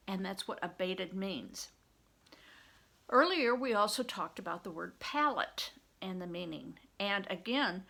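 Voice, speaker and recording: An older woman reading a story aloud like a teacher, pausing to explain and put questions to the listener. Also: mid-range voice, vocal fry, a bit bright.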